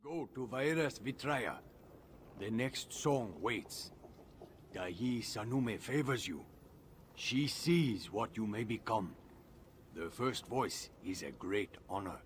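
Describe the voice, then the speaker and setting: An actor playing a proud, solemn alien native warrior guide in a video game, speaking formal, clipped English. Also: male voice, lowish voice, expressive, slow pace, a little hiss or hum. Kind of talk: video game character acting.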